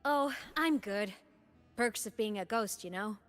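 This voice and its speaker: A woman's voice: medium pitch, lively intonation, a little slow, faint music behind, natural voice. A woman voicing a playful, casual young female ghost in a video game. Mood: breezy, curious. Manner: casual, teasing, upbeat.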